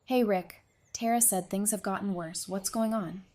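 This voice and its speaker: A woman's voice: medium pitch, normal speed, warm tone, close to an everyday voice. A woman playing a caring, stubborn and playful survivor in an audio drama. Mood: concerned. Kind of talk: fan audio drama acting.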